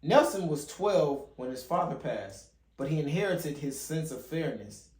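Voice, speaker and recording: A man's voice: fairly high pitch, lively intonation, measured pace, darkish tone. A man reading a children's picture book aloud as a father and host, now and then stumbling and rereading a phrase. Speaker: father hosting a children's read-along channel. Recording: roomy, echoey home recording.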